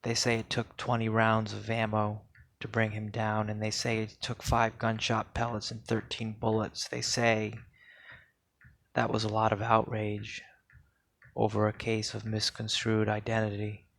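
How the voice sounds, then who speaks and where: A man reads poetry aloud in a solemn spoken-word style, with deliberate, measured phrasing and pauses. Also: fairly low pitch, monotone, vocal fry, a bit bright, dry recording. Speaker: poetry reader.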